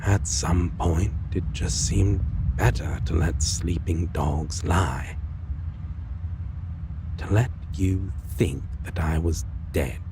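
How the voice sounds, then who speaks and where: A man playing a brooding, world-weary male vampire and former hunter, speaking tenderly in halting phrases with soft, breathy endearments in an intimate romantic audio roleplay. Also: low-pitched, background noise. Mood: regretful, tender.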